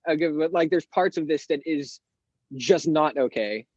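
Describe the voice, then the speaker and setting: An eighteen-year-old man with a higher-pitched voice, a guest on a podcast, talking candidly and rambling with lots of fillers. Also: measured pace, darkish tone, dry recording, muffled recording. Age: teens. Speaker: guest artist. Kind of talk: casual interview chat.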